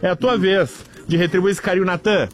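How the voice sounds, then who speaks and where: A man's voice: high-pitched, fairly even intonation, brisk. A man with a southern Brazilian accent, hosting a radio show in a casual, bantering style.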